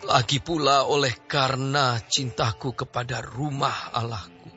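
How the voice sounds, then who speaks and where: Slow, stately old king. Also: medium pitch, steady tone, pushed and bright. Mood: solemn, earnest. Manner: declamatory, reverent, deliberate. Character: aged King David, solemn, devout, stately ruler. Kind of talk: audio drama Bible acting. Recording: slightly dull audio under clear music.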